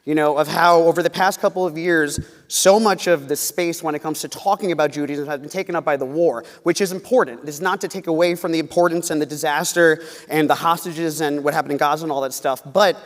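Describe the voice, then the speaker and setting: A man's voice: a little high, fairly even intonation, quick pace, few pauses. A man from New York with an American accent, hosting a live conversation in an informal, irreverent, teasing way. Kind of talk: joking live stage interview. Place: indoor event hall in front of an audience. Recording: reverberant hall, live stage event.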